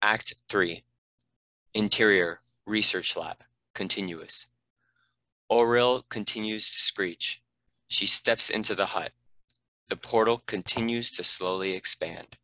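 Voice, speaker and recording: A man's voice: on the low side, slow, long pauses, pushed and bright, no echo, rough recording. A man reading scripted narration and stage directions for a fantasy script read.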